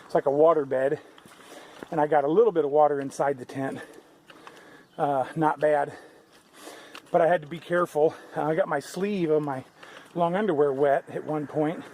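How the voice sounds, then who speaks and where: Guy hiking and vlogging, kinda high voice, rambles on, light outdoor hiss behind him. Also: a little mellow. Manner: rambling, conversational, many restarts. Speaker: thru-hiker vlogger. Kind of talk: casual trail vlog. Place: outdoors on a mountain trail.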